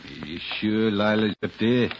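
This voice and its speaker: Man playing suspicious mob boss, low voice, blunt poor grammar, muffled old radio crime drama. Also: moderate pace, a little hiss or hum, natural voice. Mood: suspicious, tense. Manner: blunt, folksy tough-guy talk with poor grammar. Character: rough, suspicious male gangster boss, uneducated.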